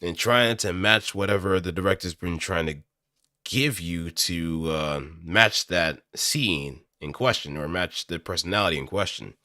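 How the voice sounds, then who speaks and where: A man's voice: low-pitched, unhurried, sharp tone, dry recording. A man talking casually and informally on his own into a close studio mic, rambling a little as an amateur voice actor. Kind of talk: casual solo chat while practicing voice lines. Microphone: close studio microphone through an audio interface.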